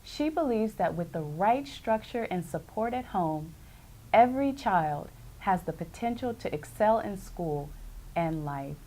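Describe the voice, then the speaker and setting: A woman TV host who introduces a guest and interviews her, giving frequent soft affirmations as she listens. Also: medium pitch, lively intonation, measured pace, low-quality recording.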